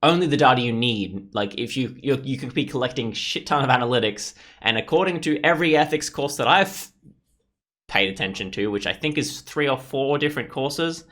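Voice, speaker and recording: A man's voice: medium pitch, a bit bright. A young man hosting a let's play, talking in an informal, rambling and sweary way. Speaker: gaming video host. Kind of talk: casual let's play commentary.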